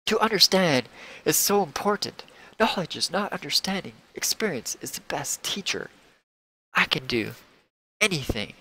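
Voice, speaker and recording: A man voicing an all-powerful being from beyond, solemn and curious, speaking in halting declarations as in a comic-book reading. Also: on the high side, sing-song, pushed and bright, heavily acted, poor audio.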